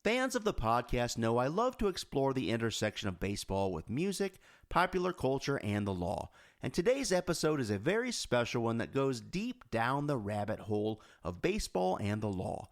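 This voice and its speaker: A man's voice: medium pitch, sing-song, moderate pace. A man hosting a podcast, reading a scripted intro with upbeat emphasis, then asking the guest questions. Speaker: podcast host.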